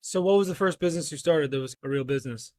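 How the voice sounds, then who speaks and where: An upbeat man hosts a podcast with a friendly, joking manner. His voice sits a little high for a man and rides along easily in casual conversation. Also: fast, dark tone. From United States. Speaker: podcast host. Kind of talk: casual interview chat.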